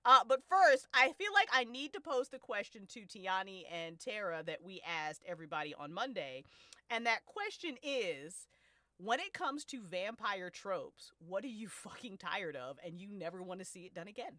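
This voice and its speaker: A woman hosts a loose group conversation in a bright, forceful voice. Her pitch sits high and swings widely, and she talks in playful slang with plenty of swearing. The sound is dry. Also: animated, normal speed, no room sound. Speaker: host of a fiction podcast listen-along event. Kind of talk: casual, slangy group chat.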